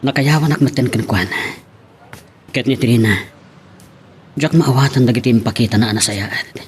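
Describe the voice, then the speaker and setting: A man's voice: mid-range voice, normal speed, slight background noise, close to an everyday voice. Man acting a troubled young Ilocano husband. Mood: troubled, resentful. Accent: Ilocano Filipino. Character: troubled, resentful young husband in a loveless marriage. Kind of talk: Ilocano audio drama acting.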